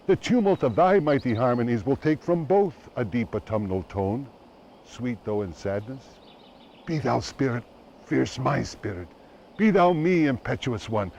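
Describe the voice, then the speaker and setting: A man giving a dramatic poetry recitation in a solemn, declamatory manner with deliberate phrasing. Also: medium pitch, darkish tone, muffled recording, a little hiss or hum. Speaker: poetry reader.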